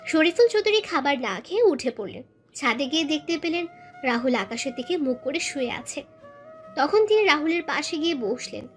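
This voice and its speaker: Female storyteller, high voice, scripted narration of family romance over music. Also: sing-song, normal speed. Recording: music bed under voice.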